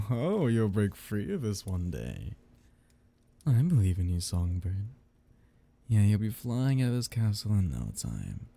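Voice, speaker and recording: A man playing a seductive, teasing, menacing vampire noble in an intimate ASMR roleplay, in a breathy, gravelly murmur. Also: heavily acted. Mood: amused, taunting. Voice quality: husky, breathy, low murmur with gravelly edges. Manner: slow, teasing drawl with soft whispered dips.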